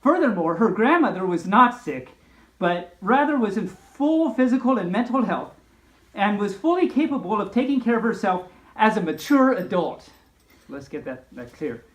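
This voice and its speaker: Animated woman storyteller in an echoey room. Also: medium pitch, moderate pace. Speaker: storyteller, host of a story time series. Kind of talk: storybook read-aloud with spoken asides.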